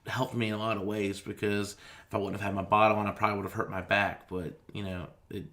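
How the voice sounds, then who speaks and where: A man's voice: on the low side, flat delivery, fast, a bit bright. A man speaking as a firefighter in an interview, recounting his own experience in a plain, understated way.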